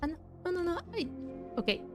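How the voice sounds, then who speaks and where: A woman's voice: extremely high pitch, animated, fast talker, music in the background. A woman streaming a video game with casual, reactive commentary, talking to herself in short bursts.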